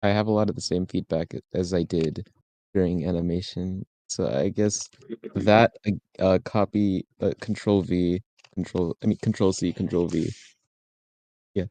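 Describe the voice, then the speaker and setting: A man chatting casually and haltingly in an online meeting, with a joking manner. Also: low-pitched, steady tone, dark tone, dry recording. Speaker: participant giving feedback. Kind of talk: casual chat. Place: indoors on an online meeting call.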